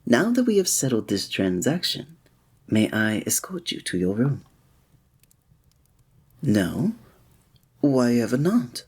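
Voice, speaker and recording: A man's voice: medium pitch, very animated, slow pace, pauses often, a little mellow, breathy edge, full character voice. A man playing a flirtatious, courteous innkeeper in a fantasy village, speaking formally in a scripted ASMR roleplay addressed to the listener. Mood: courteous, warm. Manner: formal, old-fashioned, teasing, suave diction.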